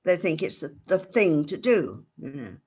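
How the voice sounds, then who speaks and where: A woman's voice: deep voice, sing-song, normal speed, darkish tone, slightly rough. An older woman chatting frankly as an interview guest over a video call. She laughs often. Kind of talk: casual interview chat.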